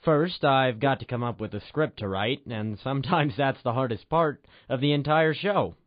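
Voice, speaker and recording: A man's voice: medium pitch, normal speed, no echo. A man, a writer and director, hosting with scripted old-time radio narration. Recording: dull lo-fi radio sound, music underneath. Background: music.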